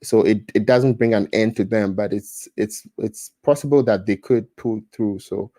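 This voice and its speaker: A man's voice: lowish voice, moderate pace, mellow, dry recording. A man in his thirties from Ghana with a Ghanaian accent, chatting casually on a livestream and reading out viewer comments, often restarting and repeating words. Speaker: livestream host.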